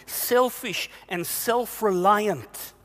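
A man's voice: high-pitched, extremely slow, fairly bright tone, long reverb tail. A male pastor giving a sermon, earnest and questioning, repeating himself for emphasis.

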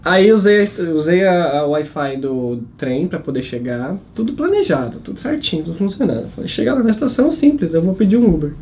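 A man's voice: a little high, very animated, brisk, few pauses, darkish tone, a little hiss or hum. A young man, telling a self-mocking travel story in a casual podcast chat. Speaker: travel channel host and guest storyteller.

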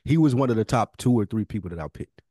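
Low, mellow man chatting on a podcast. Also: animated, fast, dry recording, studio quality. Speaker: podcast co-host. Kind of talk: casual podcast chat.

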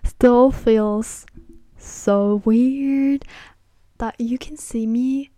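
A woman's voice: fairly high pitch, fairly even intonation, extremely slow, warm tone, no room sound. An eighteen-year-old woman hosting a casual solo podcast on camera. She rambles hesitantly with many "like" fillers and apologizes self-consciously. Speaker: podcast host.

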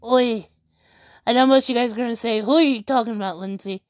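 A woman's voice: a little high, fast, no echo, clean studio sound. A woman chatting casually to her viewers, rambling and correcting herself as she goes.

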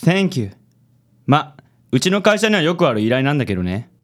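Suave, vain gentleman with theatrical swoops, acted by a man. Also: a little high, big pitch swings, moderate pace. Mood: breezy. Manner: smooth, self-assured, affected. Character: suave, vain handsome company president, sprinkles English. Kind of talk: Japanese comic radio drama acting.

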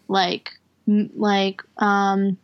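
A woman's voice: mid-range voice, completely flat delivery, extremely slow, smooth, muffled recording. A woman co-hosting a podcast in a casual chat, rambling and leaning on fillers as she talks. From half Puerto Rican, half Dominican heritage. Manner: rambling, heavy on fillers and restarts. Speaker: podcast co-host.

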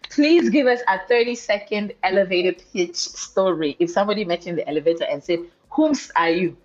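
A woman from the Democratic Republic of the Congo co-hosting a podcast, introducing her guest in a playful, expressive way with slangy asides. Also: mid-range voice, brisk. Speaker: podcast co-host. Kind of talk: casual podcast interview. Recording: dull lo-fi sound.

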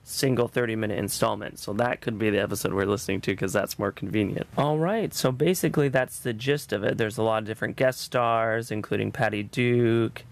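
A man's voice: fairly low pitch, a little fast, vocal fry, hardly any pauses. A man hosting a podcast in a conversational presenting style. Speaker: podcast host.

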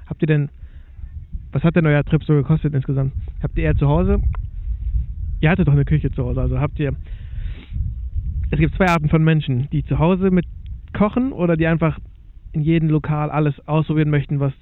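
A man's voice: fairly high pitch, fairly even intonation, a little fast, pauses often. A man hosting a casual interview, rambling and restarting his questions. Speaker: host. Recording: tinny dry phone-like sound.

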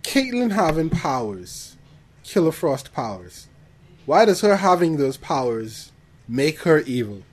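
A man's voice: a little high, big pitch swings, a little slow. A man co-hosting a podcast in casual chat, with a questioning, play-acting way of talking. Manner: questioning, acts out mock dialogue. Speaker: podcast co-host. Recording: noticeable background noise.

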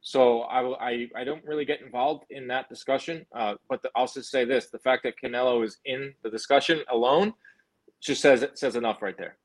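A man's voice: medium pitch, fairly even intonation, brisk, low-quality recording. A young man answering interview questions as a boxing guest, speaking in emphatic, confident short statements. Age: young adult.

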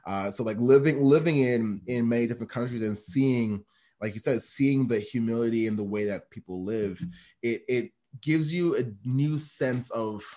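A man's voice: mid-range voice, moderate pace, warm tone. A man hosting an interview, encouraging and effusive, often repeating words as he responds. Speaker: interview host. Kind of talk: casual interview talk.

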